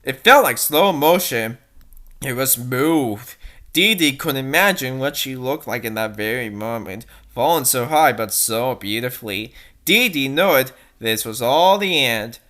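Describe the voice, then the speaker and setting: A woman's voice: a bass voice, animated, unhurried, fairly bright tone. A woman narrating bad fan fiction with theatrical, sarcastic delivery and commenting as she goes. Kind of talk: mocking dramatic fanfic reading.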